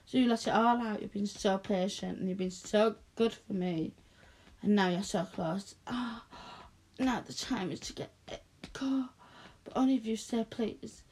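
A woman speaking softly and coaxingly in an intimate audio roleplay, close to the mic, often dropping to a whisper. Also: a little high. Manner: soft, coaxing, slow and breathy with frequent whispers.

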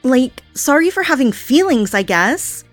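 A woman voicing an unstable, obsessive young woman in an audio roleplay, speaking in a breathy, sing-song coo that turns menacing. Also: medium pitch, animated, measured pace, no room sound, faint music behind, lightly acted. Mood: petulant.

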